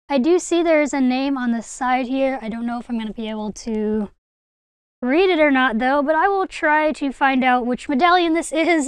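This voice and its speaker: A woman's voice: high voice, smooth, no room sound. A young adult woman, hosting a casual hobby haul vlog in an enthusiastic, gushing way. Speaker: video host, hobby collector.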